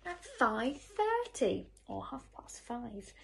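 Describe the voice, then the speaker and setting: A woman's voice: sits high, slow pace, creaky, a little hiss or hum. A woman, likely in her thirties, telling a picture-book story expressively to young children and putting questions to them. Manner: animated, asks the listener questions. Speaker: teacher reading a picture book. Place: living room sofa at home. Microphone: phone camera a short distance away.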